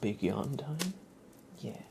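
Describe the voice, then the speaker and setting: A man hosting an ASMR livestream, chatting casually in a soft, gentle, whispered way. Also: medium pitch, lively intonation, slow, gravelly, noisy background.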